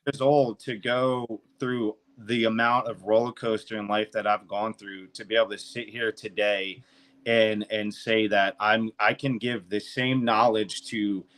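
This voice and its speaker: A man in his thirties talking casually and off the cuff, emphatic, boastful and quick to swear. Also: fairly low pitch, level tone, a bit bright. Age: 30s. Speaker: financial consultant and podcast speaker. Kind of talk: casual unscripted talk.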